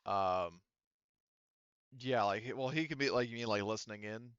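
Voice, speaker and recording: A man running a tabletop roleplaying game, narrating off the cuff and thinking aloud in a halting way. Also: on the low side, a bit bright, smooth, dry recording, highs cut off. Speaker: game master.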